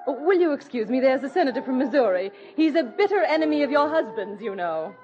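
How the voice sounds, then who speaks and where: An actress playing a falsely sweet, gossipy young society belle with affected, effusive 1940s radio acting. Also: female voice, sits high, expressive, quick pace, music in the background. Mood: gushing, catty. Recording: muffled vintage 1940s radio recording.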